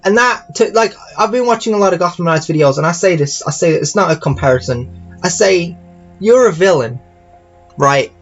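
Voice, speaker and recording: Halting man rambling over music, slightly high voice. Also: animated, highs cut off. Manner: halting, circling back and repeating phrases. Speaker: YouTuber reviewing games. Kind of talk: casual rambling audio-log commentary.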